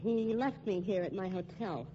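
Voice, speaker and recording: An actress plays a tough young nightclub singer who talks in slang, guarded and quick to push back. Her voice sits at a middle pitch. The sound is a dull, lightly hissy 1950s radio mystery. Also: warm tone, natural voice. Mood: grudging, guarded.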